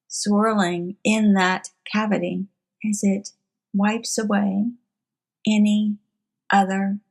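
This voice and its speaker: Woman, guided meditation leader, very slow pace, flat intonation, formal wording, frequent pauses. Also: medium pitch, vocal fry.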